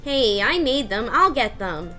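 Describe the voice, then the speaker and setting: A woman as a sour, high-voiced little schoolgirl. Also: sing-song, unhurried, pushed and bright, soft background music, heavily acted. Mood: snappy, sour. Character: small, sour, moody schoolgirl with a sharp tongue. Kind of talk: visual novel read-aloud character voice.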